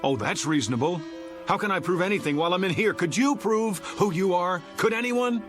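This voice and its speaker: A man's voice: high voice, fairly quick, over music, natural voice. A man acting as an indignant forty-year-old fighting to prove who he is, with an agitated, sarcastic dramatic delivery. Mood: exasperated. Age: 40s. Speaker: actor.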